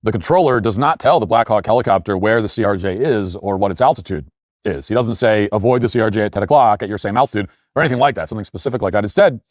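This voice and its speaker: A man hosting an opinion commentary show, speaking in an emphatic, critical way with deliberate phrasing and asides. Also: fairly low pitch, dry recording, clean studio sound.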